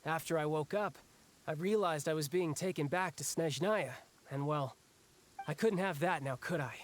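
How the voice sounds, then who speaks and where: An actor playing a breezy, cocky young warrior in an anime video game, with a casual, boyish, wry manner. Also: male voice, fairly high pitch, moderate pace, close to an everyday voice. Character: breezy, cocky young warrior man, restless and battle-hungry.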